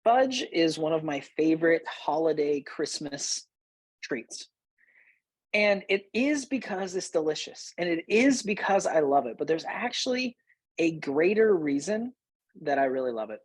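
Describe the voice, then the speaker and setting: Male host, late thirties, slightly husky and close-recorded, conversational storyteller with emphatic repeats. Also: on the high side, sing-song, measured pace, dry recording. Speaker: host, business coach. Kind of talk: personal storytelling to listeners.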